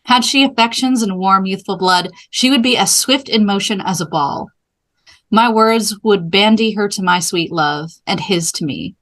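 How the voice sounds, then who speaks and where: This is a lovestruck young girl in a Shakespeare play, read aloud by a woman. She is impatient and entreating, speaking her verse in a measured way at a middle pitch. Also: a bit bright, close to an everyday voice. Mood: impatient, yearning.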